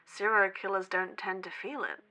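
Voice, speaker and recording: Casting: actress as college-age podcast skeptic for horror audio drama, crisp bright tone, sardonic, light music behind. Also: female voice, mid-range voice, flat delivery, normal speed, natural voice, low-quality recording. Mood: skeptical, curious. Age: young adult. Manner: dry, sardonic, questioning. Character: skeptical, sarcastic young female college podcast partner.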